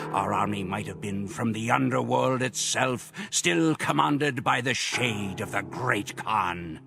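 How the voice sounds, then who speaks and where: A man playing a proud Mongol warrior who solemnly narrates grand conquests in a measured, theatrical way for a game. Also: fairly low pitch, vocal fry. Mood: proud, martial. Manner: measured, theatrical storytelling delivery. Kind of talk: dramatic scripted narration.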